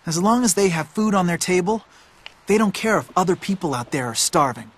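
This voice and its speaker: A man's voice: sits high, animated, brisk, fairly bright tone, slightly rough, full character voice. A man voicing a streetwise, cynical boy in a video game, blunt and matter-of-fact, like a bossy older friend. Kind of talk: video game cutscene voice acting.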